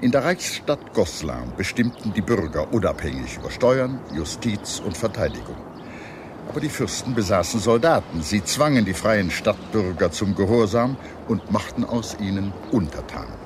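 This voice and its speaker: Deep-voiced man narrating history. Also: animated, normal speed, noisy background. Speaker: documentary narrator. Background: music behind the narration.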